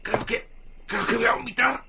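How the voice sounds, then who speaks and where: Casting: panicky foul-mouthed deputy, high voice, radio horror drama buried in loud night noise. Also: male voice, steady tone, normal speed. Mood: queasy. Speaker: actor in audio horror drama.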